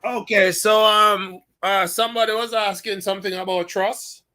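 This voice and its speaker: A man's voice: sits high, fairly even intonation, moderate pace, bright, forceful tone. A man leading a workshop over a video call, greeting everyone in a friendly, joking way. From Caribbean-born, living in Canada. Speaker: guest instructor.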